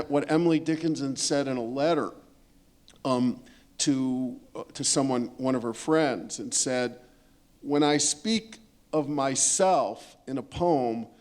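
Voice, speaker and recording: A man's voice: mid-range voice, a little mellow. A man on a discussion panel, speaking unscripted and thoughtfully about literature, emphatic and rephrasing as he works toward his point.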